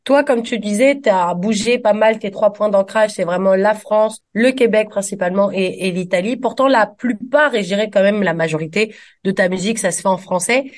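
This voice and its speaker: Woman radio interviewer, friendly and chatty, average pitch. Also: brisk. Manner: friendly, conversational questions. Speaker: radio host.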